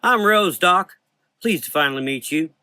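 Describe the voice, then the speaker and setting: Rose, man voicing a brash high-pitched Southern belle. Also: lively intonation, normal speed, pushed and bright, clear, resonant tone, no room sound, full character voice. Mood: cheeky, friendly. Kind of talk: gothic horror audio drama.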